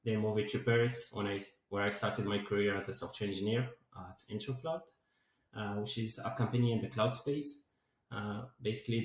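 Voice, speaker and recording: Echoey-voiced man in his twenties. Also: lowish voice. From Morocco. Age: 20s. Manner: many fillers like "like" and "uh". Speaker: guest, DevOps engineer and founder. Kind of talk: interview answers. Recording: very echoey room.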